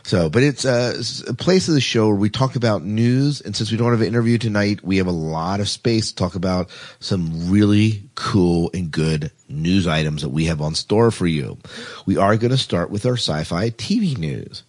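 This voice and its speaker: A man's voice: fairly low pitch, moderate pace, a little mellow. A man hosting a podcast, chatty and rambling, reading out news items in a casual, self-deprecating way. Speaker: podcast host.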